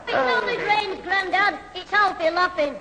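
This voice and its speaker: An actor playing a cheeky, quick-witted schoolboy in a 1970s radio sitcom. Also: extremely high pitch, a loud crowd. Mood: cheeky, teasing. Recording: old hissy radio broadcast, roomy hall.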